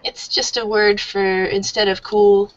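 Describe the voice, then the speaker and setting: A woman teaching an online English class, explaining slowly and warmly with many fillers. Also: mid-range voice, flat delivery, dull audio, a little hiss or hum.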